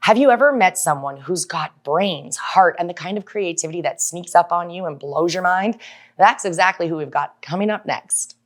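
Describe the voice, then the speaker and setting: A woman's voice: fairly low pitch, lively intonation, moderate pace. A woman hosting a talk show, gushing and slangy as she introduces and chats with guests. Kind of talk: hyped scripted introduction and chat.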